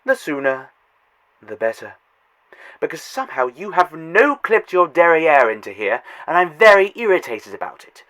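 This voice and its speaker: Man voicing a condescending alien, sarcastic, lively swooping delivery, audio drama. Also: a little high, moderate pace, poor audio. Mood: irritated. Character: arrogant sarcastic male alien Eternal guide.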